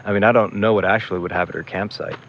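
A man's voice: on the low side, steady tone, fast talker, highs cut off, slight background noise, natural voice. A man playing an irritable, sarcastic young older brother in a naturalistic audio drama. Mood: grumbling, conflicted. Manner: sarcastic, grumbling.

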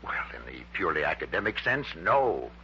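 A man's voice: sits low, very animated, brisk, sharp tone, slight background noise, exaggerated voice. An actor playing a proud, grandiose man running a secret project in a 1960s radio drama, speaking formally and with pompous emphasis. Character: proud, grandiose male head of a rocket-fuel project. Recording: dull, humming early-1960s radio recording.

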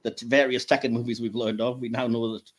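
A man with a husky voice hosting a casual podcast chat about films. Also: medium pitch, little pitch movement, quick pace, a crowd in the background. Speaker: podcast host. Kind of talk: casual film ranking chat.

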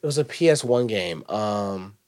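A man's voice: fairly low pitch, expressive, moderate pace, smooth. Slangy rambling young man. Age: 20s. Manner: slangy, rambling, trails off quietly. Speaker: podcast host. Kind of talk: casual chat.

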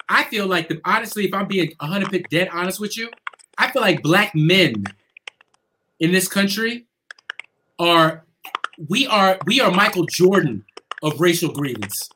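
High-voiced man in a noisy room. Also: unhurried. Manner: profane, emphatic, animated. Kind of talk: casual heated discussion. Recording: noisy background with keyboard clicks.

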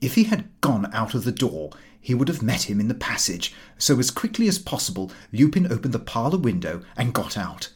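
A man narrating a literary diary as an audiobook, formal, precise and expressive. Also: medium pitch, fairly quick, few pauses, breathy edge.

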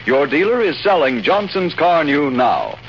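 A man's voice: fairly high pitch, big pitch swings, unhurried. An American man reading a scripted 1940s radio advertisement as an announcer. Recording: muffled 1940 radio recording, faint noise.

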